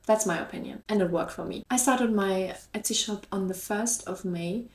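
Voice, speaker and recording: A woman's voice: mid-range voice, moderate pace. A woman with a German accent talking casually to her viewers as a vlog host, in an upbeat, encouraging and chatty way. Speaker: YouTube host and online shop seller. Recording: echoey room.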